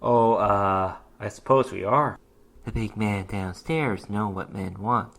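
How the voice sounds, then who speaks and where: An awkward young-hero type from a fantasy storybook, acted by a man, speaks low and hesitantly. He is a nervous summoner with a sly streak. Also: slow pace, creaky, fairly bright tone, full character voice. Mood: flustered. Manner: hesitant, stammering. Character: awkward male demon-fighting summoner, nervous yet sly.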